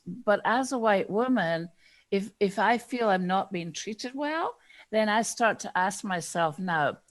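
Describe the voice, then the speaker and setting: A woman with a Scottish accent, a guest expert in an interview, explaining ideas in a measured way and acting out the inner questions of her examples. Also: mid-range voice. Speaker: guest expert on diversity and inclusion.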